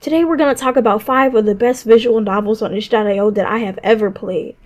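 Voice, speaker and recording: A woman's voice: fairly high pitch, fairly even intonation, fast talker. A woman hosting a scripted video game list, narrating in a conversational way with casual asides. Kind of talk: scripted video narration, top-five review. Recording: music behind the voice. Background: background music.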